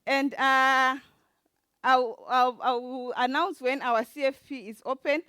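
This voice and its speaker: A woman with a Zimbabwean accent gives an informal conference presentation as an event organizer, speaking haltingly with frequent filled pauses. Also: high-pitched, steady tone, pushed and bright, clear, resonant tone, no echo, studio quality. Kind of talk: informal lightning talk presentation. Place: conference talk before an audience.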